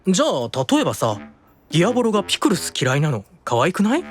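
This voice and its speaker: A man playing a gentle, patient, softly teasing angel in an improvised audio drama, with a calm, soothing manner. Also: high-pitched, quick pace, faint music behind. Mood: gentle, reasoning.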